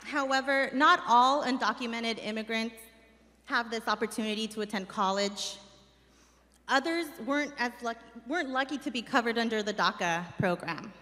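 Young woman from Mexico, organizer giving a read speech; slightly high voice, long hall reverb. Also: normal speed, pushed and bright. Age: young adult. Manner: earnest, reading from notes with some restarts. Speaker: community organizer. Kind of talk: reading a personal speech at a summit. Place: large hall stage. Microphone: stand mic.

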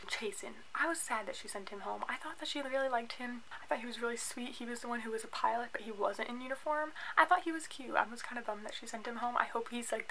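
Creaky, bright-voiced young American woman vlogger. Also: on the high side, a little hiss or hum, low-quality recording. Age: 20s. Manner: opinionated, animated, filler-heavy with "like". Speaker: YouTube vlogger reviewing a reality show. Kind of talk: casual chatty vlog commentary. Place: small indoor room at home, by a bookshelf.

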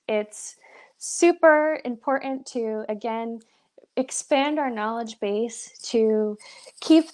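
A young woman with a slightly high voice leads a live online seminar from a room at home. She speaks into her webcam mic in an upbeat, encouraging way and keeps linking her points with "so". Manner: upbeat, encouraging, with frequent "so" and "awesome". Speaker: brand representative presenting a pet nutrition webinar. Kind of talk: live webinar presentation. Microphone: webcam on a video call.

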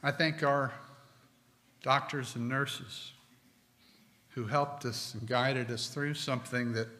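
A middle-aged man preaching a sermon from a pulpit, slow and deliberate with emphatic pauses. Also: mid-range voice, steady tone, sharp tone. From United States. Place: church sanctuary with stone wall, at a pulpit. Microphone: pulpit microphone. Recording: long hall reverb.

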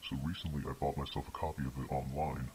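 A man playing a distraught, haunted man in analog horror, halting and pleading, with his voice pitched very low. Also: little pitch movement, a little mellow, breathy edge, exaggerated voice. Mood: anxious, explaining. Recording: noisy, hissy horror-tape phone call.

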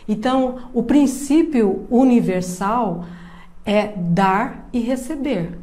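A woman in her fifties from Brazil, a psychologist giving a calm, measured online lecture to camera from a room at home. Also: fairly high pitch. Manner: slow, measured, explaining step by step. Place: indoor room with plants and a painting behind. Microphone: webcam framing, seated at desk.

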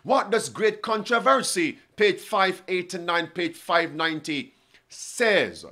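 A man's voice: high voice, lively intonation, slow pace, pushed and bright. A man in his forties preaching to camera from a studio desk, reading quotations aloud and asking his listeners pointed questions. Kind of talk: prophecy sermon to camera. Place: indoor studio with bookshelves.

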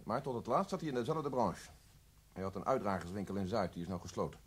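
An actor playing a dry, teasing, understated police detective in a radio drama. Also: male voice, fairly low pitch, animated, fast talker, breathy edge. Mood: matter-of-fact. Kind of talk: Dutch radio drama acting.

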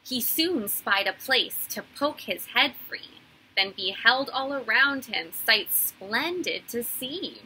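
Bright-voiced woman reading a storybook slowly. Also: on the high side, husky, poor audio. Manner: deliberate, animated storytelling. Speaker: teacher reading aloud. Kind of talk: expressive children's storybook read-aloud. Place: small indoor room.

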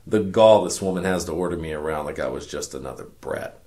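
An actor playing a cynical, world-weary detective, narrating a noir parody radio drama in a wry, self-important deadpan. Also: male voice, extremely low pitch, a little fast, very noisy. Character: cynical world-weary hard-boiled male detective narrating his case.

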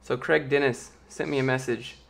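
A man in his late twenties with a light, youthful voice that sometimes squeaks, casually chatting and answering viewer questions on a live stream from a small home office into a close studio microphone. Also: normal speed, vocal fry. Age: late 20s. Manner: relaxed, rambling, reads viewer questions aloud. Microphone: large studio mic with pop filter, close.

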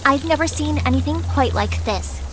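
High-voiced, cheerful adventurous girl played by a woman. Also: normal speed, slight background noise, close to an everyday voice, low-quality recording. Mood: eager, playful. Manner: bright, breathless enthusiasm. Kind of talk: acted audiobook dialogue.

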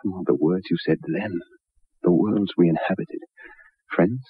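Hot-blooded, rough suitor for vintage radio drama; low, husky voice, clipped and colloquial. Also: male voice, fairly even intonation, a little slow, no room sound, heavily acted. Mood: pleading, heated. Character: intense, rough-mannered jealous lover pressing a married woman. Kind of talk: 1960s radio drama acting. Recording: muffled, narrow-band 1960s radio recording.

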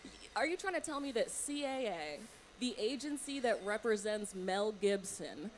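A woman's voice: medium pitch, lively intonation, normal speed, slight background noise. A woman comedian performing a stand-up set on a small stage with a handheld mic, biting and sarcastic. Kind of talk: stand-up routine. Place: small stage with curtain backdrop.

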